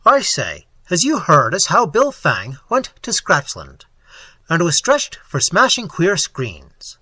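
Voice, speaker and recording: A man's voice: on the high side, huge pitch swings, slow pace, sharp tone, slightly rough, no room sound, heavily acted. A man voicing a jovial, coarse, talkative policeman telling tales in slang for an audiobook. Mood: jovial, mocking. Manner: slangy, rambling, relishing his own tale. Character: jovial cockney policeman, coarse, talkative, fond of grisly anecdotes. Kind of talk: audiobook character voice.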